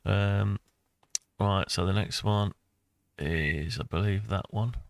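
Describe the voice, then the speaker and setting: A man's voice: fairly low pitch, slow, vocal fry, dry recording. A man in his sixties giving a casual screen-recorded tutorial, rambling and thinking aloud as he goes. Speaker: maker and video host.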